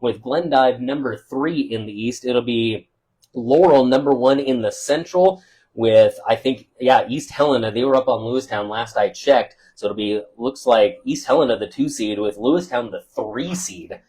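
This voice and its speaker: A man who is a local radio sports broadcaster, loudly giving a chatty postgame wrap-up of scores and stats with many uh fillers, from a press box above a football field. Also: mid-range voice, normal speed.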